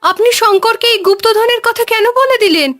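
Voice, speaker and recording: A man's voice: very high-pitched, fairly quick, pushed and bright, voice pushed up. A man voicing a frightened, scolding wife in a cartoon, anxious and pleading. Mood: frightened, reproachful.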